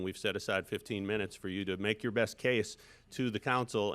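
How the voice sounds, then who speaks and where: A man runs the council meeting and walks the members through the procedure in an orderly way. His voice is low and steady. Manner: organized, steers the agenda. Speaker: meeting facilitator.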